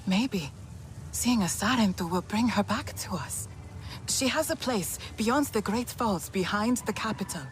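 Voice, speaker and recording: An actress playing an earnest young clanswoman who quietly defies her leaders. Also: mid-range voice, expressive, a little slow, faint music behind, natural voice. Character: earnest young female clan member, quietly rebellious.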